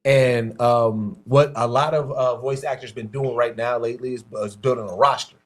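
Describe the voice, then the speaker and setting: A man's voice: mid-range voice, fairly even intonation, normal speed. Laid-back man explaining with many fillers. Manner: loose, filler-heavy explaining with "like" and "uh". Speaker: podcast co-host. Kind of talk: casual chat.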